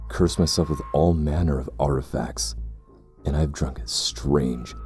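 A man playing a sinister, condescending zealot in an audio roleplay drama, speaking in a formal, measured way. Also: extremely low pitch, darkish tone. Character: sinister cursed brother, pompous, coldly condescending zealot.

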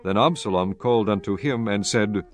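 A man giving scripted Bible narration in a measured, solemn manner. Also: lowish voice, muffled recording, faint music behind.

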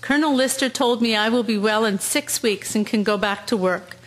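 An actress in a radio drama playing a tough, determined wounded army nurse, earnest and plucky. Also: female voice, a little high, normal speed, close to an everyday voice.

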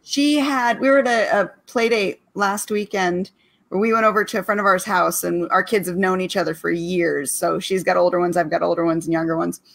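A woman's voice: lowish voice, steady tone, brisk, vocal fry, a bit bright. A woman co-hosting a casual online chat, telling stories in a chatty way. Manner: chatty storyteller. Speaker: podcast co-host.

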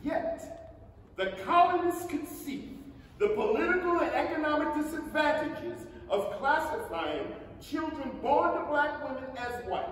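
High-voiced man reading in an echoing hall. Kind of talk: staged reading of historical nonfiction. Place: stage of a large theatre. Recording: echoey theatre hall from far off, faint noise.

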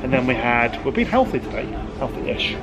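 A man's voice: mid-range voice, sing-song, normal speed. A man in his thirties chatting casually and playfully as he vlogs, filming himself at arm's length. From United Kingdom. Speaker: vlogger. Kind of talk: casual vlog chat. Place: outdoors at a theme park zoo and in an open-sided wooden shelter. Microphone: handheld camera at arm's length. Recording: outdoor park, background music and crowd.

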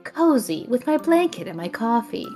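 A wry young woman in an audio drama, acted by a woman, dry and fondly teasing. Her voice is fairly high and very animated, over faint music. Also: moderate pace. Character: dry, teasing, homebody young woman, affectionately sarcastic best friend.

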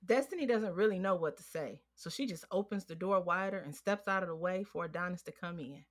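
A woman's voice: on the low side, fairly quick. An American woman giving scripted, present-tense narration for an audio drama. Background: music.

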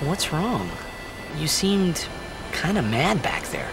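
A man's voice: a little high, wildly swooping pitch, takes it slowly, exaggerated voice, rough recording. An actor playing a boyish young male athlete in a video game, cocky yet awkward, with casual, hesitant phrasing. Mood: awkward, friendly. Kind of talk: video game cutscene acting. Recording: music behind the voice.

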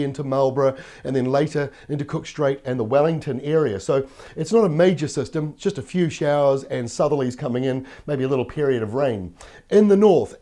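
Croaky-voiced New Zealand weatherman. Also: fairly high pitch, warm tone. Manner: upbeat, conversational, explains while pointing at maps. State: croaky voice from a cold. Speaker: weather presenter. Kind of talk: TV weather forecast. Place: TV studio in front of a weather map screen. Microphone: lapel mic.

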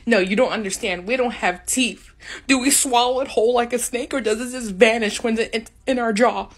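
A woman's voice: fairly high pitch, moderate pace. A woman in comic dialogue, asking questions in an earnest, puzzled way.

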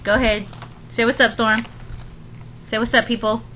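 Playful young woman, bright voice, background noise. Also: a little high, steady tone, normal speed. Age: young adult. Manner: playful, coaxing children. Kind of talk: casual home video chat. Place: small bedroom, indoors. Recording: noisy webcam-style home recording.

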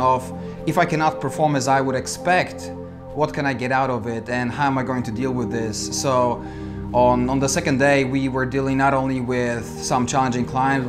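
A man in his thirties from Poland with a Polish accent, speaking reflectively in an interview with deliberate, measured phrasing. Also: medium pitch. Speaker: amateur cyclist being interviewed. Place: indoor studio with dark backdrop. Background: music.

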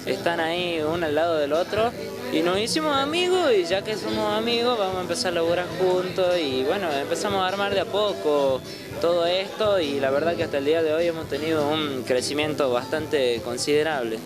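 A man's voice: on the high side, lively intonation, fairly quick. A young Argentine man, a band guitarist, talking in an easygoing way during an interview in a bar. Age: young adult. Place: bar with live music. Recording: very loud music behind.

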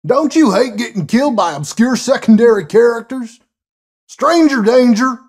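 An actor voicing a gleeful mocking animatronic in a game, with a husky voice. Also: medium pitch, lively intonation, normal speed, darkish tone, close to an everyday voice.

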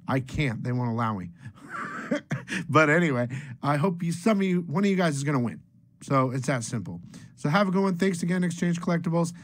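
A middle-aged man hosting a comic book video from a small room at home, talking casually and upbeat with loose restarts. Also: on the high side. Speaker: comic book channel host.

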